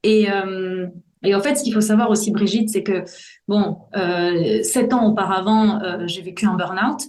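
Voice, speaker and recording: A woman's voice: medium pitch, moderate pace, darkish tone. Forties woman, podcast guest, thoughtful and sincere, recorded in a reverberant room. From Belgium. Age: 40s. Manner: earnest, reflective, searching for words. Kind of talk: personal testimony in a podcast interview. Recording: roomy echo.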